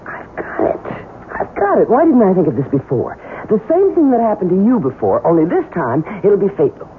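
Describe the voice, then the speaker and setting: A woman acting a scheming American wife in a seventies radio play. She is seductive and insistent, with sweeping, highly animated intonation. The sound is tinny, with a faint hiss. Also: moderate pace, natural voice. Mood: urgent, insistent. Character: scheming, seductive wife coaxing her lover toward murder. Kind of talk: 1970s radio drama acting. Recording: tinny, faintly hissy old radio broadcast.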